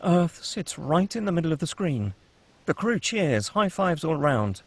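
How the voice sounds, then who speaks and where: Brief: table read narrator, matter-of-fact, husky voice. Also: male voice, on the high side, a little slow. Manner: steady, matter-of-fact reading. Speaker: narrator reading stage directions.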